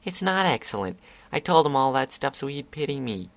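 A stubborn, indignant little pegasus filly from a storybook reading, her voice pushed up high and earnest, as a man puts her on. The sound is dry and close, with no room behind it. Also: very animated, brisk, gravelly, no room sound. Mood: upset. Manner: pitched up, earnest and upset.

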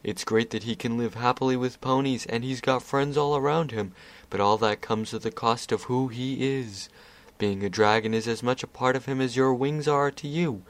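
A man's voice: mid-range voice, natural voice. A man doing a drawling, wry chaos spirit. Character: lazy, sardonic male chaos spirit.

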